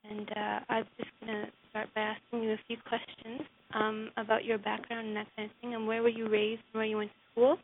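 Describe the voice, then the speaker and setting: A woman's voice: fairly high pitch, monotone, brisk, hardly any pauses. A woman interviewing a guest, asking questions hesitantly with many ums and uhs. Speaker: interviewer, blog writer. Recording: phone-line sound with faint hiss.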